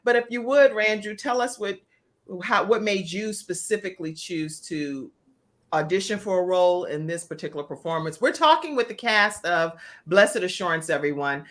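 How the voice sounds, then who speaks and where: A woman's voice: fairly low pitch, moderate pace, a bit bright. Chatty woman hosting a talk show. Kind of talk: live interview with casual chat.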